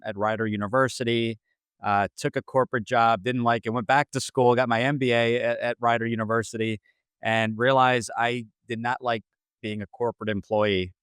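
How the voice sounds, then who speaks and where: A man in his forties from New Jersey, a franchise consultant answering interview questions with many pauses and restarts. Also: fairly low pitch, fairly bright tone, clear, resonant tone, no room sound. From Central New Jersey. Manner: hesitant, many fillers and restarts.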